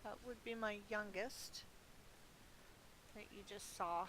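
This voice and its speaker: A woman's voice: medium pitch, slow pace. A middle-aged woman giving casual, playful gameplay commentary, thinking aloud and murmuring to herself as she goes. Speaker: gamer streaming her play. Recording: clearly hissy, noisy home recording.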